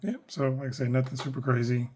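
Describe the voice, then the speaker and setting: A man with a hoarse, worn voice, chatting casually through a toy unboxing, rambling with lots of fillers and self-corrections. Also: mid-range voice, fairly even intonation, fast talker, a little mellow, slight background noise. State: hoarse, losing his voice. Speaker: toy collector reviewing action figures.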